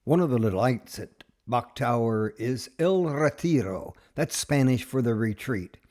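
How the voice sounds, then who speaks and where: Male travel narrator, mellow and relaxed tone, folksy and informal, halting delivery. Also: medium pitch. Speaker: travel show host and narrator.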